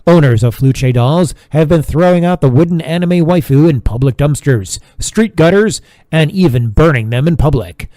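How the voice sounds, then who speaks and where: Man as radio news reporter, emphatic, sensational, animated, dry sound, formal bulletin. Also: fairly high pitch, moderate pace, dry recording, lightly acted. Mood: urgent, emphatic.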